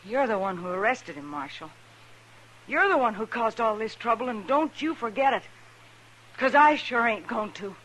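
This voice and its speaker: A woman's voice: a little high, expressive, moderate pace, fairly bright tone, slight background noise, low-quality recording. An American actress playing a defiant young frontier woman in a 1950s radio Western, blunt and bitter with rustic speech, on an old recording. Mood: bitter, threatening.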